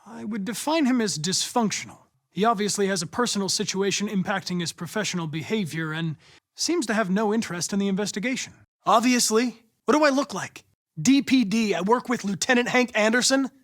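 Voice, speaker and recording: A man's voice: sits high, a little fast, a bit bright. An American man playing a polite, analytical young android detective in a video game, earnest and determined, with a precise, formal delivery. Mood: calm, formal, then brisk.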